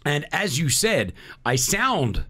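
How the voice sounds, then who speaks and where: A man's voice: on the high side, sing-song, takes it slowly, pushed and bright. A man with an Australian accent, hosting a casual radio chat from a studio and laughing easily. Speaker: radio host.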